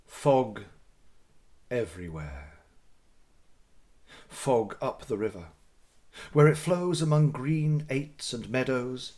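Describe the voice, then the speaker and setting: A man's voice: mid-range voice, animated, darkish tone. Man giving a dramatic literary reading. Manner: theatrical, deliberate phrasing with dramatic pauses. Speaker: audiobook narrator.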